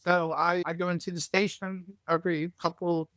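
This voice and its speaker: Woman, deep voice, chatty guest, anecdotes, dry close sound. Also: flat delivery, normal speed, a bit bright, no room sound. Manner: chatty, tells anecdotes freely. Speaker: shop staff member and guest. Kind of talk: casual podcast chat.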